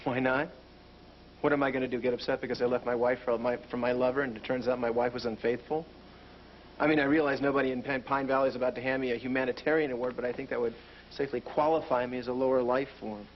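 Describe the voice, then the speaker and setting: An actor playing a troubled, self-critical husband who broods and questions himself, in a soap opera. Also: male voice, mid-range voice, fairly even intonation, quick pace, slight background noise, lightly acted. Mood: brooding. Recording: dull lo-fi TV recording.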